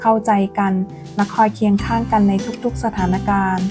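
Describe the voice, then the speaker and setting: A woman narrating a storybook tale as a storyteller, in a gentle, tender scripted delivery. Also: mid-range voice, steady tone, fairly quick, over music.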